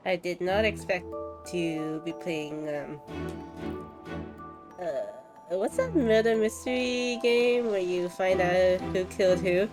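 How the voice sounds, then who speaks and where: Slow-talking woman streaming a game over music. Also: fairly low pitch. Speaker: live streamer. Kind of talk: casual game stream commentary.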